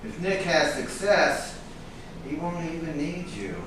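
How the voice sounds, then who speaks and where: A man's voice: on the high side, lively intonation, unhurried. An actor playing a blunt, protective longtime friend of a troubled man in a staged play reading. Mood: confronting. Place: echoey indoor room at a staged reading. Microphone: distant microphone. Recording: very echoey, distant, noisy room.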